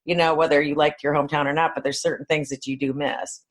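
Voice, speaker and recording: A woman's voice: low voice, little pitch movement, fast talker. A woman speaking as a podcast co-host in a casual, conversational style. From northern New York State, United States. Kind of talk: casual chat.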